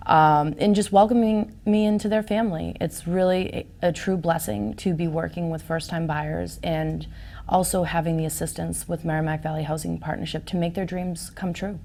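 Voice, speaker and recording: Upbeat lower-voiced woman, Portuguese native. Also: fairly even intonation, moderate pace, creaky, warm tone. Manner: upbeat, emphatic, affirming. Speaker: real estate agent, guest. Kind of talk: interview conversation.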